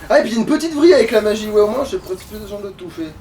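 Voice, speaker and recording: A man's voice: high voice, lively intonation, racing, darkish tone, slight background noise. A man giving casual, colloquial, sweary let's play commentary as he plays a video game. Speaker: gamer playing a boss fight. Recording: distant mic, game music behind.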